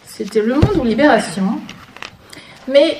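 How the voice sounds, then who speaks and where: A woman with a fairly high voice gives an informal lecture, dropping dry, sarcastic asides as she goes. She is picked up from a little distance in a very echoey room. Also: expressive, a little slow, a little mellow, slight background noise. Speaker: guest lecturer, author. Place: conference room before an audience.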